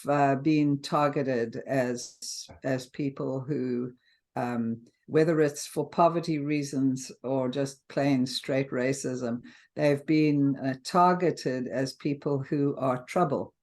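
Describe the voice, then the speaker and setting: Older South African woman, halting and earnest. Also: a bass voice, steady tone, darkish tone. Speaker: interview guest. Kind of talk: casual interview talk.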